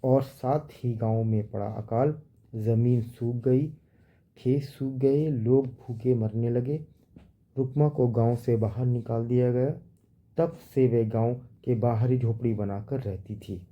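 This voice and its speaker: A man's voice: mid-range voice, steady tone, slow, highs cut off. A man narrating a scripted horror story as a storyteller.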